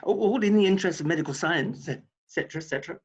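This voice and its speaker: An actor playing a flippant, pompous hospital doctor in a scripted read over a video call. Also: male voice, a little high, very fast, breathy edge. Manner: glib, patronising. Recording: dull, muffled video-call audio.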